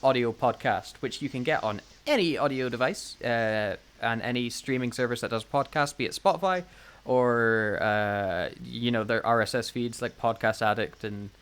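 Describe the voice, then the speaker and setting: A man's voice: mid-range voice, normal speed, a bit bright, clear, resonant tone. A man co-hosting a casual unscripted podcast, rambling and joking with mock confidence. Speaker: podcast co-host.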